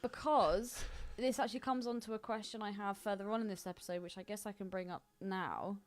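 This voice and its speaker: A woman's voice: medium pitch, brisk. A woman in her twenties co-hosting a podcast in informal chat, often raising questions. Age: 20s. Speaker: podcast co-host. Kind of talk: casual chat.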